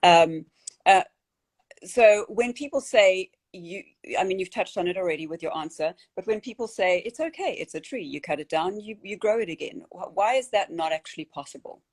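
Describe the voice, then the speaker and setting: A young woman from South Africa with a South African accent, hosting a casual interview chat. Her voice sits low for a woman, and she speaks earnestly, often stopping and restarting with fillers. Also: expressive, moderate pace. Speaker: host.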